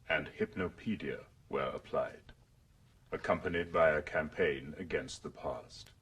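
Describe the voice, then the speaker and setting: An actor playing an authoritative world controller giving a grave, measured history lecture in a radio drama. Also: male voice, extremely low pitch, fairly bright tone, slightly rough, full character voice. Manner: measured, oratorical. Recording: distant, off-mic broadcast voice.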